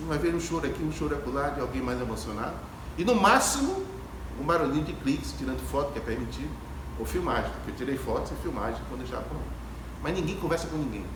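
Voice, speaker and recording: Guy w preaching in a echoey noisy hall, highish voice, really emphatic. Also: animated, fast. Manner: emphatic, repeats phrases and asks rhetorical questions. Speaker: speaker at a Spiritist gathering. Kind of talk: spiritual lecture to an audience, storytelling and reflective. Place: ecumenical hall before an audience. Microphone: distant phone camera. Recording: echoey hall, distant phone camera, clear background noise.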